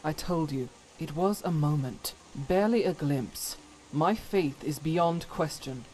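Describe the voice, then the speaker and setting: An actress playing a devout, troubled warrior woman in a fantasy game, solemn and earnest with halting delivery. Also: low voice, warm tone, a little hiss or hum, rough recording. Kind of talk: dramatic fantasy game voice acting.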